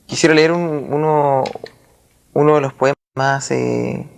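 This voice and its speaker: A man speaking as a writer in a reflective literary interview, with formal wording and a halting, searching manner. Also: medium pitch, fairly even intonation, gravelly. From Chile.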